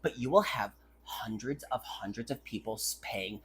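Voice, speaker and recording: A woman's voice: extremely low pitch, moderate pace, fairly bright tone, slightly rough. A woman co-hosting a podcast in casual, opinionated banter, peppering her sentences with "like.". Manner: conversational, heavy use of "like". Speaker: podcast co-host.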